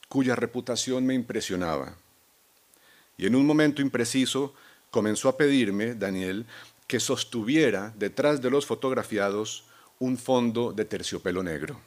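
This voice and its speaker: A man with a Colombian accent, a novelist accepting a prize, speaking in a measured, reflective way with soft hesitations. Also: medium pitch. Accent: Colombian Spanish. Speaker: award-winning novelist.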